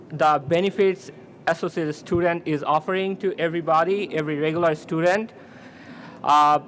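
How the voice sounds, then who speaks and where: Halting young man. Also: fairly high pitch, a bit bright, slight background noise. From Bangladesh. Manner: hesitant, halting, with fillers. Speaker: student candidate. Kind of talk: campaign speech.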